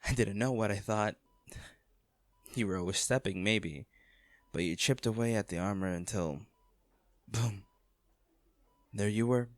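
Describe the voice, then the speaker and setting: A man acting an anguished wealthy businessman in an intimate audio drama, speaking haltingly and emotionally to a comatose lover, with a gravelly voice. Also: on the low side, no room sound, close to an everyday voice. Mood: nostalgic, sorrowful. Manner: halting, emotional, with sighs and repeated words.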